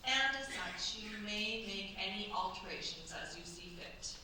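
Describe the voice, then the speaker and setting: A woman's voice: medium pitch, unhurried, bright, forceful tone. A woman acting a shrewd, coaxing older French chateau mistress in a staged radio play, with a theatrical, commanding manner. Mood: persuasive. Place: theatre stage at a cultural centre. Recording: very echoey stage, distant mic, faint noise.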